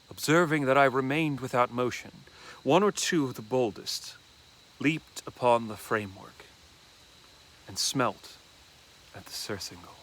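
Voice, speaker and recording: Slow-paced man reading gothic horror. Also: mid-range voice, fairly bright tone. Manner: deliberate, with dramatic pauses. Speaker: reader of a classic horror story. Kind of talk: dramatic literary narration, first-person horror reading.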